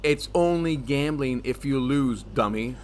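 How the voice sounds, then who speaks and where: A man's voice: mid-range voice, measured pace, lightly acted. A man playing a sarcastic, defensive, grumpy gambler in a scripted comedy audio drama. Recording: clear background ambience noise.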